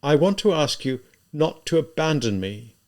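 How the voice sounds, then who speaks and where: A man voicing a tormented, spiteful young murderer in an audiobook, halting and emphatic as he reproaches himself. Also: a little high, very animated, voice pushed up. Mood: bitter, pleading.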